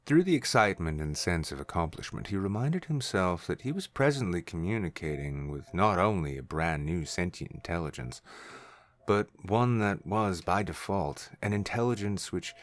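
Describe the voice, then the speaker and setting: A man's voice: sits low, expressive, moderate pace. A man reading scripted narration for a science fiction story. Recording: music under the voice.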